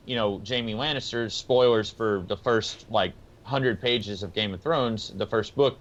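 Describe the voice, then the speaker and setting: A man's voice: medium pitch, lo-fi sound. A man co-hosting a podcast, explaining his thoughts in a casual, rambling, tentative way. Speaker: podcast co-host. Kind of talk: casual discussion of books and comics.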